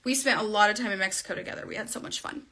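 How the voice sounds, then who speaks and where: A woman's voice: mid-range voice, racing, pushed and bright. A woman in her thirties chatting through a casual livestream makeup tutorial, recorded on a phone camera in a small room at home. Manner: chatty, bubbly, talks while applying makeup. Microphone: phone camera at arm's length.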